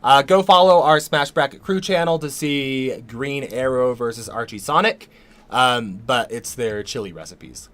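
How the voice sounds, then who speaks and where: Man, hoarse, losing his voice, casual livestream chat, rambling, lots of ums, mid pitch. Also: unhurried, a bit bright. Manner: rambling, many ums and restarts. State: losing his voice, a little sick. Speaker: co-host of a livestream Q&A.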